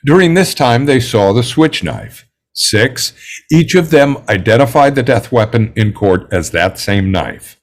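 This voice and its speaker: A man playing a composed, self-assured juror in a script table read, speaking in a measured, precise way. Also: medium pitch, lively intonation. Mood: confident, methodical.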